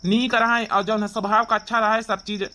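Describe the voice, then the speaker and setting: A woman's voice: on the high side, little pitch movement, a little fast, pushed and bright, a little hiss or hum. A woman voicing a doting, stubborn village father in an Awadhi-accented cartoon comedy, easygoing but firmly protective of his daughter.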